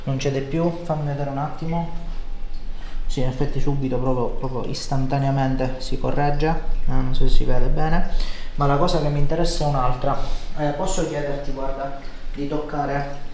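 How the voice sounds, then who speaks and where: A man in his thirties speaks as a practitioner guiding a patient, with medium pitch. He explains and reassures between brief instructions. The room rings slightly and some noise sits behind him. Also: fairly even intonation, normal speed, a little mellow. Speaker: chiropractor. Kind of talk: talking a patient through hands-on treatment. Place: indoor home-style treatment room. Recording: roomy echo, noticeable background noise.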